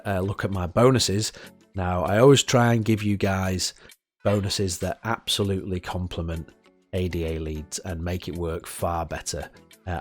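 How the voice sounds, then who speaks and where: A man with a head cold giving a casual product review, chatty and full of "uh" fillers and restarts. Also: deep voice. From United Kingdom. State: has a head cold, sniffling.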